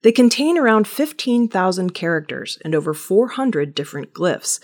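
A woman reading a scripted weekly news bulletin in a measured, steady manner. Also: fairly low pitch. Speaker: news reader.

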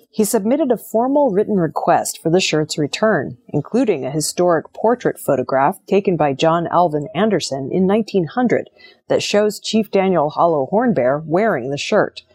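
A woman's voice: fairly low pitch, darkish tone, husky. A woman reading scripted news stories as a news presenter. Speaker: news reader.

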